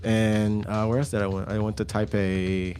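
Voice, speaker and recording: A man's voice: on the low side, flat delivery, moderate pace, a little mellow, faint music behind. A young man guesting on a radio show, talking casually about his clothing brand with lots of fillers and restarts. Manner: laid-back, rambling with many fillers. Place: radio studio.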